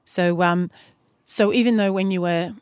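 A woman's voice: lowish voice, steady tone, a little slow, darkish tone, clear, resonant tone, no echo. A woman hosting an interview, listening closely, encouraging her guest and asking probing follow-up questions. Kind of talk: casual interview.

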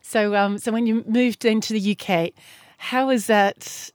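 A woman's voice: medium pitch, steady tone, a little slow, no echo, clean studio sound. A woman hosting an interview, listening closely, encouraging her guest and asking probing follow-up questions. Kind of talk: casual interview.

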